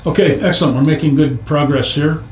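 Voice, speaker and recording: A man's voice: fairly high pitch, fairly quick, a little mellow, a little hiss or hum. A man hosting an informal interview, with a folksy, easygoing way of phrasing questions. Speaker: interviewer, show host. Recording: echoey room.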